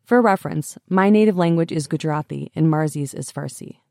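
A woman's voice: low voice, fairly quick, vocal fry, darkish tone, no room sound. Thoughtful young woman host, dry sound. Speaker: podcast host. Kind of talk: casual interview and scripted voice-over narration.

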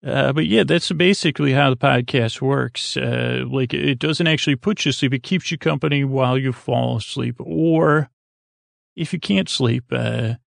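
An American man hosting a bedtime sleep podcast in a creaky, lulling tone, rambling and halting as he stumbles over his words with plenty of fillers. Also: mid-range voice, no echo, clean studio sound. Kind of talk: rambling bedtime monologue meant to lull listeners to sleep.

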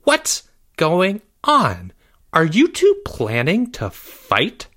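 A man as a prim, firm, bright-voiced schoolboy. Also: sits high, voice pushed up, full character voice. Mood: wary. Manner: flat, firm, deliberate. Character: cautious, prim, rule-abiding schoolboy Charlie, flatly unimpressed.

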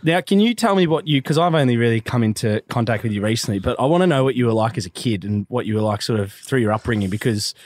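A man's voice: medium pitch, expressive, fast talker, few pauses, a little mellow, no room sound. A man, hosting a casual podcast interview in a laid-back, matey way and laughing often. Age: 20s. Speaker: podcast host.

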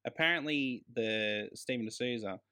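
A man's voice: medium pitch, a little slow, sharp tone, smooth. A man co-hosting a casual film review chat, emphatic and slangy, with plenty of swearing. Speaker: podcast co-host.

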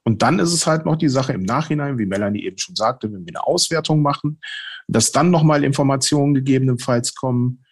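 A man's voice: medium pitch, brisk, slightly rough. A man being interviewed as a ghost hunter, explaining his work conversationally and feeling for his words as he goes.

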